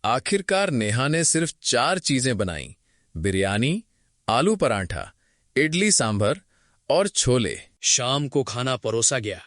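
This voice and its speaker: A man narrating a cartoon story in an expressive, dramatic way. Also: medium pitch, takes it slowly, dry recording, studio quality.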